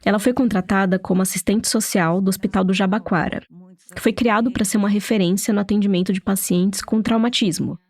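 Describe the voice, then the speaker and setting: A woman reporter with a Brazilian Portuguese accent narrating a documentary story in a steady, storytelling manner. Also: mid-range voice, a little fast. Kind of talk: scripted narration.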